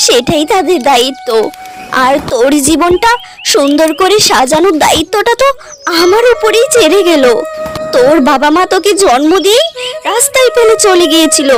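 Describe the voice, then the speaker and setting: Older female family elder for a cartoon, woman performer: motherly, reproachful, protective, music underneath. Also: very high voice. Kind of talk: cartoon story acting.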